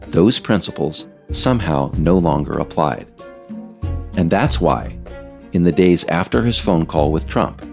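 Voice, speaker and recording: A man's voice: low-pitched, creaky. A man reading scripted narration as the author of an audiobook.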